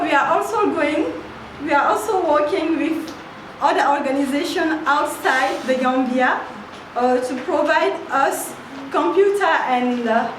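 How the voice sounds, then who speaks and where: A young woman whose mother tongue is French speaks in a high voice, pausing and restarting often as she works through her English. She is heard from far off in an echoey hall, with steady noise behind her. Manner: hesitant, with fillers and restarts, apologetic about her English. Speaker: admin officer of a charity. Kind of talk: short prepared talk at a workshop.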